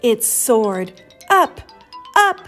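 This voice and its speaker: A woman reading a children's picture book aloud in an expressive, dramatic way, drawing out the sound words. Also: a little high, soft background music. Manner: slow, dramatic, drawn-out delivery of sound words.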